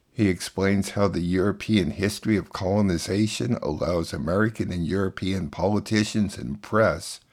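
A man's voice: sits low, darkish tone. A man hosting a radio show, reading scripted introductions and announcements.

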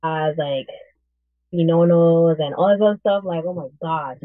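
Female podcast co-host, lowish voice, informal anecdotes, narrow phone-quality audio. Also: unhurried, no echo. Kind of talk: casual chat, telling anecdotes. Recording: narrow, tinny phone-like line.

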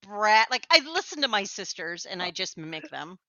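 Chatty Midwestern woman, bright voice. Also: mid-range voice, sing-song, normal speed, dry recording, highs cut off. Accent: Upper Midwest American. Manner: chatty, self-deprecating, laughs often. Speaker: podcast co-host. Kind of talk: casual chat.

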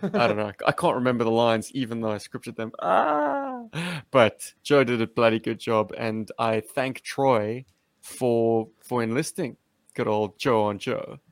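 A man's voice: medium pitch, steady tone, moderate pace, no room sound. A man hosting a casual, slangy chat about action figures as a collector. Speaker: podcast host and toy collector.

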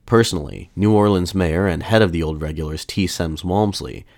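Deep-voiced man narrating history, dry sound. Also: a little mellow, no echo. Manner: measured, formal storytelling. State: slightly off voice after a mild illness. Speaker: podcast host.

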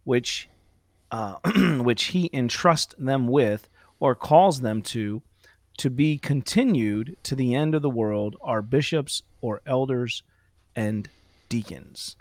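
A man with a slightly hoarse, strained voice talks through a church confession, reading passages aloud and stopping now and then to clear his throat. His pitch sits in the middle for a man. The sound is dry and close, with no room around it. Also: animated, takes it slowly, a little mellow, no echo. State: slightly hoarse from outdoor preaching. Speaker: co-host discussing a church confession. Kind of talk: Bible study discussion show.

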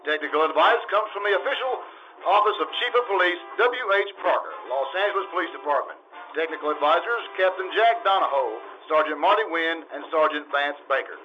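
A man playing a formal, authoritative 1950s radio announcer, reading scripted announcements in a measured official style. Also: on the high side, animated, soft background music, natural voice. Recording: dull lo-fi audio.

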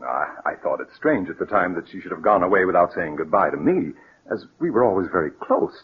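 An actor playing a nervous, scheming murderer who poses as a worried brother, stammering and repeating himself in 1940s radio drama style. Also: male voice, sits low, very animated, a little fast. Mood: anxious, feigned worry. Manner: hesitant, stammering, repeats words. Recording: muffled, scratchy 1940s radio broadcast.

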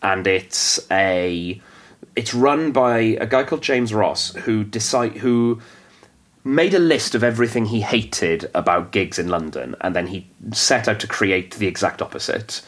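Male comedian, Welsh first language, deep voice, chatty and self-deprecating podcast guest. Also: unhurried, a bit bright. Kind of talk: casual podcast chat.

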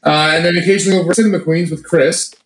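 A man with a cold, his voice salty and hoarse, rambling and swearing casually on a podcast. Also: fairly high pitch, fairly even intonation, very fast, over a noisy crowd. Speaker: podcast co-host. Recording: echoey room with noise.

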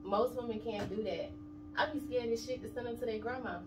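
A woman's voice: fairly high pitch, brisk. A woman in her thirties, an interview guest talking casually and bluntly about her kids and money. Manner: blunt, streetwise, emphatic. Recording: echoey room, distant mic, noisy background.

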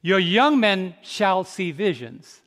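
A man in his fifties with a high voice preaches to his congregation, tossing questions out for them to answer. He drops to a slow, quiet hush and then climbs into loud, ringing exhortation. His words hang in the long reverb of a large hall. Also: very animated. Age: 50s. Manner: swings from soft, slow reflection to loud, rising exhortation. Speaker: pastor. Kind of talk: sermon.

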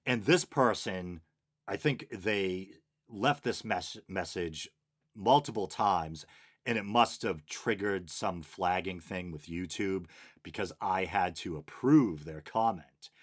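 A man with a Boston accent hosting a casual podcast, reading out listener comments with wry, sarcastic asides and little chuckles. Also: on the low side. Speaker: podcast host.

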